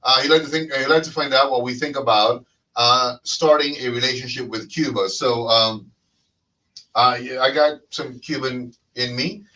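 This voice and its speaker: A man hosts a relaxed conversation in a bright, forceful voice, rambling along with plenty of fillers and restarts. He sounds set back from the mic. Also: medium pitch, normal speed, recorded from a distance, low-quality recording. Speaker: podcast host. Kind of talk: casual chat.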